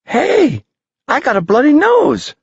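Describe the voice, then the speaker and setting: A man reading an audiobook, voicing an excited, eager young boy in a high voice. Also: slow pace, vocal fry, no room sound, muffled recording, exaggerated voice.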